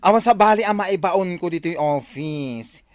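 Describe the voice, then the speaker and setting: A woman's voice: lowish voice, expressive, moderate pace, a little mellow, no room sound, natural voice. A woman playing a busy office boss handing out errands in an Ilocano radio drama, with a Filipino Ilocano accent. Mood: coaxing.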